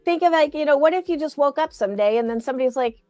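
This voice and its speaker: Woman, higher voice, eager rambling chat, dry close sound, faint music behind. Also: expressive, very fast, a little mellow, no room sound. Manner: eager, rambling, many fillers and restarts. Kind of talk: casual chat.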